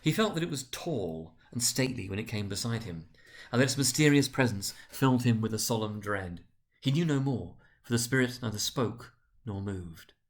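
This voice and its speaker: A man narrating a story dramatically, with a grave, deliberate and theatrical delivery. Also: mid-range voice, lively intonation.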